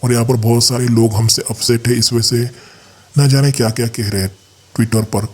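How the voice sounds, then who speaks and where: A man's voice: medium pitch, level tone, a little fast, breathy edge. An Indian man hosting a gaming channel, giving casual gameplay commentary and explaining things to viewers as his friends.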